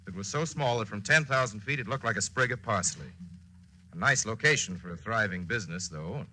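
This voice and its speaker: A man's voice: fairly low pitch, animated, a little fast, sharp tone, slight background noise, low-quality recording. A male actor playing a wry, folksy, dramatic storyteller narrating a wartime radio play in 1940s style. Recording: old radio recording with music.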